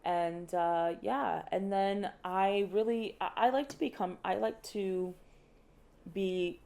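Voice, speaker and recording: A woman's voice: medium pitch, fairly even intonation, slow, gravelly, darkish tone. A young woman, an opera director, talking casually in a podcast interview, thoughtful and often restarting her sentences with light laughs. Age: young adult.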